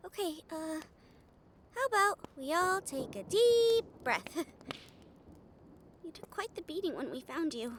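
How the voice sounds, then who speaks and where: A woman playing a cheerful, caring young female harpy in an audio roleplay, gushing, excitable and soothing. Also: extremely high pitch, measured pace, pauses often, a little hiss or hum, heavily acted.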